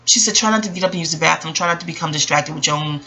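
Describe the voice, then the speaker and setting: A woman's voice: deep voice, racing, a little hiss or hum. A woman in her early forties telling a personal story in a casual, reflective, rambling way, often digressing and acting out what people said. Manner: rambling, digressive, animated retelling with acted-out quotes. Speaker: vlogger.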